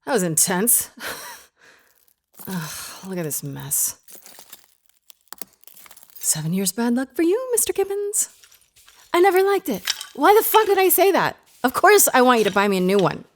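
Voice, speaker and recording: A woman's voice: on the high side, wildly swooping pitch, unhurried, pauses often, heavily acted. A woman playing an earnest, strong-willed therapist in an audio drama, speaking articulately and expressively. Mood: shaken, exasperated.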